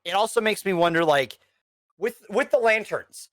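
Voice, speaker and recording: A woman's voice: medium pitch, lively intonation, moderate pace, dry recording, clean studio sound. A woman co-hosting a casual, opinionated review chat, talking bluntly in slang with plenty of swearing. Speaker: podcast co-host reviewing animated films.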